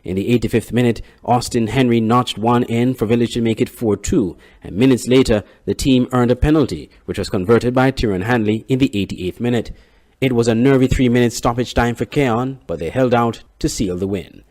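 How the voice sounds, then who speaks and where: A man, reporting sports and local news in a steady, measured read. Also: medium pitch. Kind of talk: scripted news reading.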